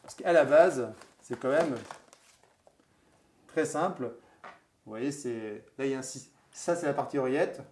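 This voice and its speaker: A man's voice: mid-range voice, a little mellow. Man presenting informally over faint noise. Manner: halting, informal, interjecting agreement. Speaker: show host and presenter. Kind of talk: conversational product presentation.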